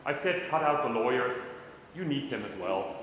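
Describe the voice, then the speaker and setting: A middle-aged man addresses a conference crowd in a relaxed, conversational way, with a wry, self-deprecating tone. His voice sits at an ordinary pitch. It carries through a large echoey hall with a faint hum of room noise behind it. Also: moderate pace. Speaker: conference speaker. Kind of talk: conference talk.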